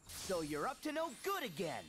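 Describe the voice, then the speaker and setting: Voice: mid-range voice, huge pitch swings, moderate pace, a bit bright, faint music behind. An actor voicing a plucky, earnest teenage boy hero in a video game cutscene. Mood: defiant, mocking.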